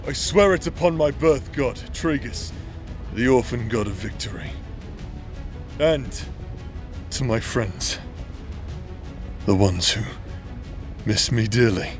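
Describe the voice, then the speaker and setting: Cursed fantasy warrior played by a man: lowish, unhurried delivery, solemn and defiant with dry wit. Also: soft background music, close to an everyday voice. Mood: solemn, resolute. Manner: solemn, wry, deliberate. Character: cursed young male warrior sworn to resist a demon. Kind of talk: fantasy audio roleplay acting.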